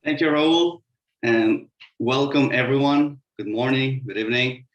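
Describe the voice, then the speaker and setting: A man's voice: medium pitch, unhurried, dull audio. A man from Mexico with a Mexican accent, a Spanish speaker giving a hesitant, filler-heavy talk as a panelist in an online webinar. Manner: hesitant, many "uh" fillers, explanatory. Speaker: webinar panelist, sustainable construction consultant. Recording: echoey room.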